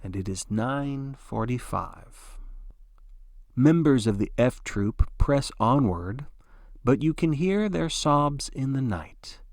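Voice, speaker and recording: A man's voice: medium pitch, expressive, slow pace, gravelly, no room sound, clean studio sound. A man narrating like a sports broadcaster, giving dramatic play-by-play in a theatrical, folksy announcer style.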